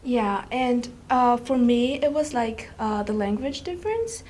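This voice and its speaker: A woman's voice: a little high, animated, measured pace, a little mellow, a little hiss or hum. A teenage girl from China, a student, chatting casually and hesitantly with many fillers. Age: teens. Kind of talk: casual interview chat.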